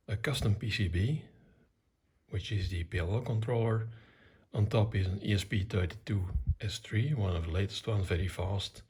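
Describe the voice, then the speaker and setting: A middle-aged or older man giving an unscripted technical demonstration in a matter-of-fact, hesitant way, with a husky, gravelly voice. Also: sits low, darkish tone. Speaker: electronics hobbyist presenter.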